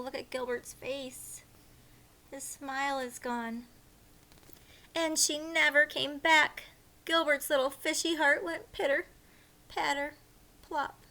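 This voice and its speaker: A woman with a high, bright voice reads a picture book aloud to young children. She takes it slowly and expressively, mixing animated storytelling with hushed asides to her listeners. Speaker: teacher reading aloud to children.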